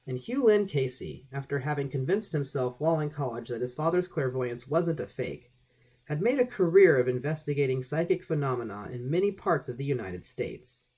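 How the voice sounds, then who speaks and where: Man, audiobook narrator, scripted delivery, animated intonation, mid-pitched voice. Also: normal speed. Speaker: audiobook reader. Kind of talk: scripted narration of a vintage magazine article.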